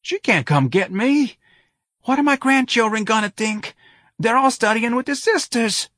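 Give me a man doing a weepy, frightened old grandfather, pleading in a high voice. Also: animated, a little slow, a bit bright, no echo, studio quality, heavily acted. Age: older. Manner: pleading, tearful.

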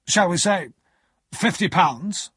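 A brusque, commanding lawyer from a storybook, acted out by a male narrator. He speaks sharply and deliberately, pitched up and swooping, with a husky edge. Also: animated, fairly bright tone, dry recording, heavily acted.

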